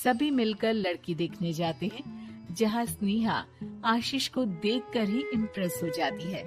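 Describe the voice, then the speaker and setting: A woman delivers scripted story narration as the storyteller. Her voice sits at a medium pitch. Music plays behind her. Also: expressive, unhurried.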